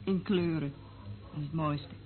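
A woman's voice: low-pitched, steady tone, a little slow. An actress playing a dreamy, sensitive, experienced woman in a radio play, soothing and reflective in her manner. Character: dreamy, sensitive experienced female surveillance listener. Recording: tinny band-limited old radio recording.

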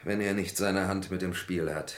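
An actor playing an accused lab worker in a vintage radio crime drama, formal, hesitant and protesting. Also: male voice, low voice, steady tone, normal speed, lightly acted. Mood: defensive, explaining. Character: accused male lab worker, defensive and evasive. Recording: roomy old radio play recording.

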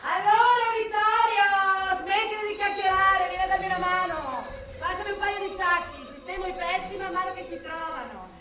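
A woman's voice: very high voice, normal speed, hardly any pauses. An actress playing a brusque, sardonic woman forensic police expert in a theatrical radio drama. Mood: irritated, bossy. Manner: exasperated, sardonic, theatrical, talking at unheard colleagues. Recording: roomy, distant microphone, faint noise.

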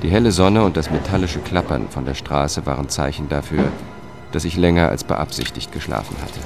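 An actor playing a weary, melancholic male investigator who narrates his own story in a measured, subdued way for a radio drama. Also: very deep voice, creaky, music in the background. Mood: melancholic, reflective.

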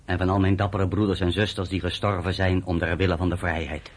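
Deep-voiced, solemn underground commander. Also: male voice, little pitch movement. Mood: solemn, stern. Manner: solemn, measured, declamatory. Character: stern male underground field commander administering an oath. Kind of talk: radio drama acting.

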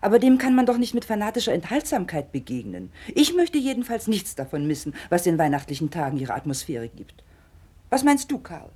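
A woman's voice: on the low side, big pitch swings, brisk, dark tone, husky. An actress playing a firm, reproachful middle-aged mother who holds to tradition, in a radio play. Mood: doubtful, firm. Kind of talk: late 1960s radio play acting.

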